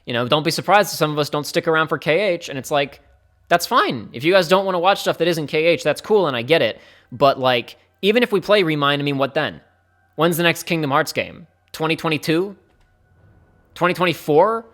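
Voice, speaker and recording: A young male streamer with a slightly high voice chats to his viewers off the cuff. He rambles and thinks aloud, sometimes rushing ahead and sometimes trailing off to a mutter. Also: expressive, fairly bright tone. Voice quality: fairly high-pitched voice. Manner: rambling, candid, speeds up and trails off. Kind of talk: casual live-stream talk to chat.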